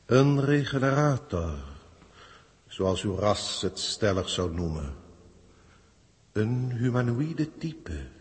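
Pompous alien authority, deliberate pace, husky, commanding, old sci-fi radio play. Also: male voice, medium pitch, very animated, exaggerated voice. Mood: lofty, calm. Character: authoritative, haughty alien proctor in human form. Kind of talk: 1960s Dutch science-fiction radio acting.

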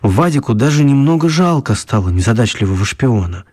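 A man's voice: mid-range voice, lively intonation, a little fast, a little mellow, husky. A man narrating a mock spy adventure as the storyteller of a children's radio play. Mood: measured storytelling.

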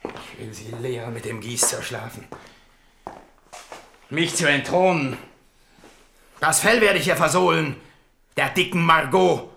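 A man's voice: a little high, a little slow, creaky, long pauses, bright, forceful tone, a little hiss or hum, exaggerated voice. An actor in a radio drama playing a crude, hot-tempered, childish young king who blusters coarsely. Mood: furious.

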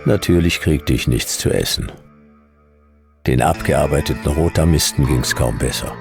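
An actor playing a world-weary, sardonic detective, narrating a radio drama in dry, deadpan short sentences. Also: male voice, rumbling low, normal speed, faint music behind.

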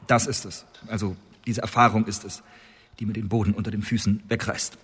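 An actor in a German radio drama playing a desperate accused agent in his late twenties, pleading earnestly in halting phrases. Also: fairly low pitch, fairly even intonation, a bit bright, husky. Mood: halting, shaken. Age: late 20s. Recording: reverberant hall.

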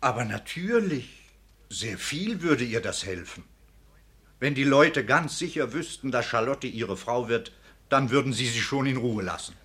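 A man's voice: medium pitch, lively intonation, unhurried, a bit bright. An older man playing an obliging, practical old provost in a radio drama, affirming and businesslike. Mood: eager, obliging. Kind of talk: literary radio drama acting.

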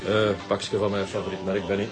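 A man's voice: on the low side, flat delivery, brisk, over music, natural voice. A man playing a civil but reproving shop regular in a radio drama, with a Flemish accent. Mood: friendly. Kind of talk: naturalistic radio drama acting.

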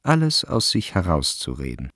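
An actor as the wry literary narrator of a radio play, delivering scripted narration. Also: male voice, low-pitched, wildly swooping pitch, moderate pace, a bit bright, dry recording, studio quality, exaggerated voice. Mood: wry, narrating.

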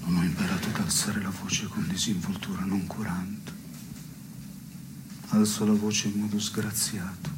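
An actor playing a brooding, neurotic, melancholy man who philosophises in measured, literary theatre dialogue. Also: deep voice, level tone, noisy background. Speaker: stage actor and playwright.

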